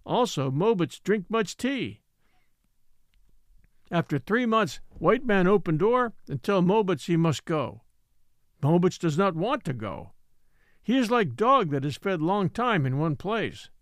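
A man's voice: high voice, big pitch swings, measured pace, no room sound, studio quality. A man voicing an old Native man in a story reading, resigned and scornful, speaking simple broken English with rhetorical questions. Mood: wry scorn. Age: older.

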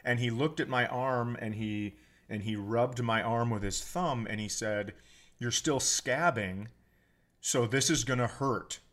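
American guy doing comedy podcast chat, medium pitched voice, jokey and swears casually. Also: a little slow, fairly bright tone. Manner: profane, joking.

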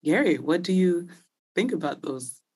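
A woman hosting a sports podcast in casual conversation, her voice slightly hoarse from talking a lot, chatty and quick to laugh. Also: on the low side, sing-song, a little mellow. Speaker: podcast host.

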